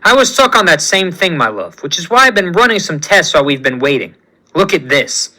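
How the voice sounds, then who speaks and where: A man voicing a calm scientist team leader who explains things precisely. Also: on the high side, a little fast, noisy background. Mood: explaining. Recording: music playing underneath.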